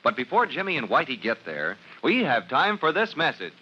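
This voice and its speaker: A man's voice: mid-range voice, wildly swooping pitch, moderate pace. A man with an American accent narrating as a rousing, declamatory announcer of an old-time radio serial. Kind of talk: dramatic 1940s-style radio serial narration. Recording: noisy, muffled vintage radio recording.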